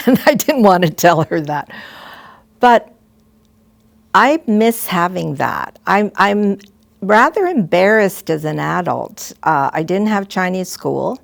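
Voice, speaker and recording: Older woman, low gravelly voice. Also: big pitch swings, no echo. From Canada. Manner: gentle, halting, with frequent restarts and soft asides. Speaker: retired school principal. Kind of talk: reflective storytelling to students.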